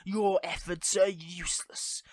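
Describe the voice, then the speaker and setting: A performer voicing a theatrical supervillain who is tender with a partner, in an intimate audio roleplay that moves between softness and grandiose menace. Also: mid-range voice, flat delivery, unhurried, no room sound, natural voice. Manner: switches between soft affection and grandiose menace.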